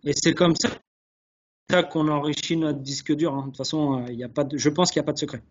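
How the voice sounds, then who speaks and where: A man's voice: on the high side, steady tone, quick pace. A man answering interview questions earnestly, hesitating and repeating words as he searches, on dull webinar audio. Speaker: guest, chef sommelier. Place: online video call.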